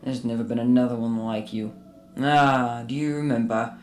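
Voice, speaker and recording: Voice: medium pitch, fairly even intonation, normal speed, darkish tone, slight background noise, close to an everyday voice. An actor playing a bitter, miserly old businessman who grumbles and scolds curtly in a radio drama. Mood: wistful.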